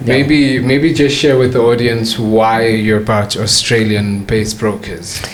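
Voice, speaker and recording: A man's voice: on the low side, little pitch movement, a little hiss or hum. A man who trades for a living, talking in a casual podcast conversation and stopping often to restart his sentences. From South Africa. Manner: halting, many restarts and fillers. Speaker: forex trader.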